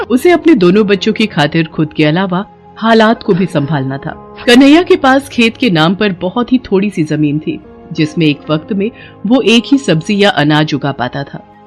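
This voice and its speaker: A woman's voice: fairly low pitch, darkish tone. Woman storyteller over faint music. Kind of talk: scripted story narration.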